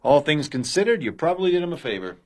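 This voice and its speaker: An actor playing a plain-spoken, wry friend of a detective in a radio crime drama. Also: male voice, a little high, sing-song, fast talker. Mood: wry, matter-of-fact.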